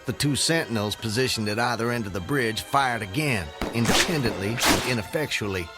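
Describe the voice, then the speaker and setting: An actor in a radio drama playing a dazed fugitive who recounts his escape in a halting, dramatic, old-fashioned storytelling manner. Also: on the low side, bright, forceful tone, faint music behind. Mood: intense, vivid.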